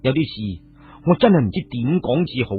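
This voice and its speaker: A man's voice: mid-range voice, lively intonation, brisk, natural voice. A man voicing a weary, evasive ancient hero in a storybook tale, subdued and hesitant. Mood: weary, resigned. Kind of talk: storybook character dialogue. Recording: tinny narrow-band radio sound, music behind.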